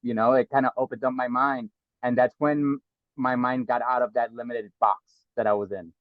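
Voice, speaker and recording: New guy in his middle years, thinks out loud, repeats himself, dead dry muffled call audio. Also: mid-range voice, fairly even intonation, normal speed, no echo. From New York (South Bronx). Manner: earnest, reflective, repeats words as he thinks. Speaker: guest. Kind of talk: casual interview talk.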